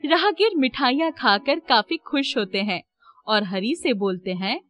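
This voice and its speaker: A woman's voice: a little high, expressive, measured pace, fairly bright tone, highs cut off. A woman reading scripted narration for a children's moral story.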